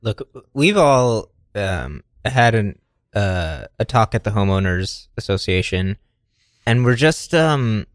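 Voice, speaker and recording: A man improvising a comedy sketch as a stern, halting homeowners association official. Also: on the low side, animated, no echo, clean studio sound. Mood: disapproving.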